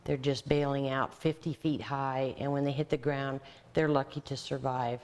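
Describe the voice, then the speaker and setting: A woman with a low voice gives an interview as wildlife center staff. She explains in plain, practical terms. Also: steady tone, moderate pace, a little mellow.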